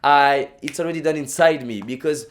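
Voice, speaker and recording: A man's voice: on the high side, moderate pace. A young man with a French accent, a native French speaker, giving an emphatic motivational vlog talk full of repetition and restarts. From France.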